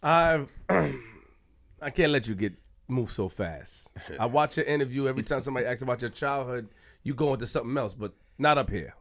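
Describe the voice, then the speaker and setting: A man's voice: medium pitch, expressive, normal speed. A man co-hosting a podcast who teasingly presses the guest for answers. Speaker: podcast co-host. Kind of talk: casual interview.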